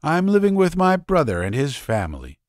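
Big loud fat guy, blustering and touchy, man doing the voice, higher than the narrator. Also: huge pitch swings, a little fast, no room sound, clean studio sound. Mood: earnest. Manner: blustering, indignant.

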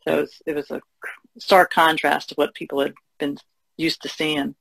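A woman with a very gravelly, creaky voice talks as a panelist in an easygoing discussion. The sound is dry, with hardly any room around her. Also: low voice, level tone, normal speed, no echo, poor audio. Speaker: podcast panelist. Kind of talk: casual discussion.

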